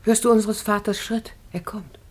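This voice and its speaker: An actress playing a tender young biblical bride and devoted daughter, speaking lyrically in a classic radio drama. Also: female voice, medium pitch, fairly even intonation, normal speed, close to an everyday voice. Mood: subdued. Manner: lyrical, declamatory.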